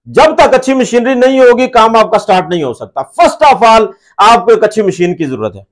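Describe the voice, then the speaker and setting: A man in his thirties speaks as a guest in an on-location interview. His voice is high for a man and has a Pakistani accent. He explains his trade at length. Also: big pitch swings, normal speed. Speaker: machinery supplier, interview guest. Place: small brick-walled workshop room indoors. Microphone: lapel.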